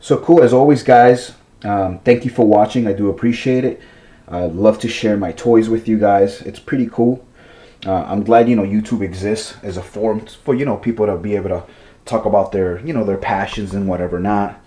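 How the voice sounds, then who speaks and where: A man's voice: on the low side, normal speed, dark tone. A man with a stuffy nose showing his toy car collection at home in a rambling, chatty way, full of fillers and restarts. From Massachusetts, USA. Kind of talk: casual vlog.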